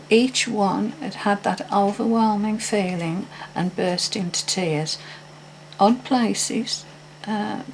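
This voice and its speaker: A woman's voice: medium pitch, a little hiss or hum, low-quality recording. An older woman telling a personal story in a casual, hesitant, meandering way with frequent restarts. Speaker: vlogger.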